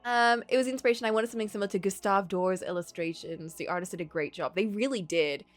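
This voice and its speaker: A woman streamer reading a game story aloud and reacting with playful, animated exclamations. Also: medium pitch, fast talker, soft background music. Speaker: streamer playing a visual novel.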